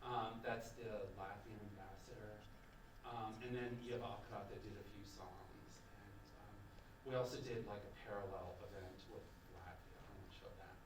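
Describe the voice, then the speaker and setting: A man's voice: fairly low pitch, fairly even intonation, normal speed. A man lectures in a loose, rambling way, doubling back and restarting often. His voice carries from some distance off the mic into a roomy hall. A faint hum of ambience sits underneath. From United States. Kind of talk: conversational festival lecture. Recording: echoey room, voice from off-mic.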